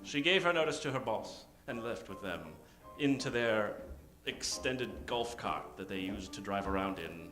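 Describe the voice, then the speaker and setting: A man's voice: lowish voice, lively intonation, normal speed, over music. A man narrating an improvised parody of old-time radio drama in a theatrical, melodramatic way. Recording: roomy live stage sound.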